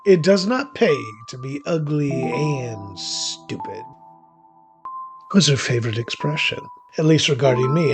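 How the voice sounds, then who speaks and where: Man playing a wry, bitter witch, music underneath. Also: a little high. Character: bitter, wry, self-deprecating ugly male witch telling his story. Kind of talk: first-person horror audio drama narration.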